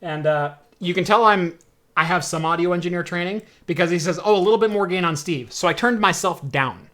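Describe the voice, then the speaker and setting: A young man from Oregon hosting a casual tech podcast, talking loudly and chattily and laughing often. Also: high voice, brisk. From Oregon, USA. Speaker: show host.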